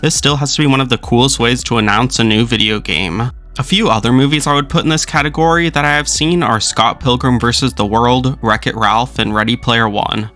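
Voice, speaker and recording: A young adult man hosts a scripted video essay. His voice is bright and forward, at an ordinary male pitch, and he reads his narration as a presenter would.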